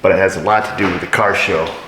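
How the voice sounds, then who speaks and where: A middle-aged mechanic with a lowish voice talks through a repair as he works, dry and wry, poking fun at himself. He sounds like he's in a big echoey garage, with clear shop noise behind him. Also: fairly even intonation, fast, sharp tone. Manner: wry, dry self-mocking asides. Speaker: auto mechanic, shop owner. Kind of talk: casual how-to narration while working.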